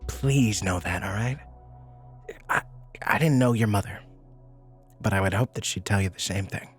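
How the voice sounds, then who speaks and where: An actor playing a kind, earnest social worker in an audio drama, speaking gently and hesitantly with reassurance. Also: male voice, on the low side, normal speed, soft background music, lightly acted. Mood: gently consoling. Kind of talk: naturalistic audio drama dialogue.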